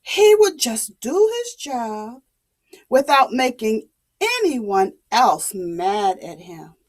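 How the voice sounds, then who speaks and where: A woman's voice: a little high, big pitch swings, slow pace. A woman telling a story to young children in a dramatic way, asking questions and repeating things to explain them.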